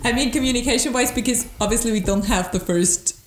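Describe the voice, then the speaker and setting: A woman's voice: on the high side, quick pace, slight background noise. A woman whose first language is German, chatting casually in a thoughtful way and searching for words. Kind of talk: casual chat. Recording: echoey room.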